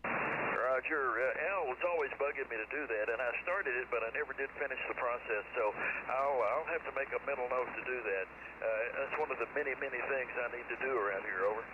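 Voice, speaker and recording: Hesitant radio operator over static. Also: male voice, mid-range voice. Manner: slow, thoughtful, hesitant. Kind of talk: radio conversation. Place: home in Texas. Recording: dull radio signal with loud static.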